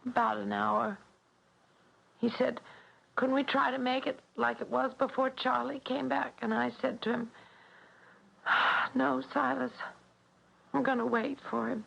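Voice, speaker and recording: Husky, ailing young fiancée. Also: female voice, fairly high pitch, steady tone, unhurried, long pauses, a bit bright, full character voice. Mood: anxious, troubled. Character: anxious, ailing young fiancée, loyal and wistful. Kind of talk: old-time radio drama acting. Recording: dull old radio recording.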